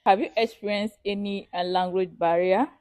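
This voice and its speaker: A woman's voice: medium pitch, steady tone, normal speed, darkish tone, no room sound. A woman interviewing a student, asking friendly questions and paying compliments. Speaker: street interviewer.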